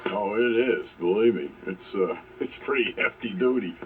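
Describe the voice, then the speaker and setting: A man's voice: lowish voice, animated, normal speed. A man chatting casually as an amateur radio operator over an AM shortwave transmission. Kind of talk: casual technical chat over ham radio. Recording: phone-like AM radio with faint noise.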